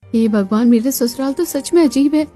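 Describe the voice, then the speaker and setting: Woman as a high-voiced put-upon cartoon young bride. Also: moderate pace, dark tone, soft background music, close to an everyday voice. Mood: exasperated.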